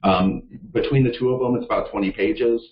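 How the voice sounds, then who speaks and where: A man's voice: mid-range voice, fairly even intonation, brisk. A man presenting on a conference call, talking informally with many fillers and earnestly urging his listeners to act. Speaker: staff presenter for a league of cities. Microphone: call audio. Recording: echoey, dull call audio.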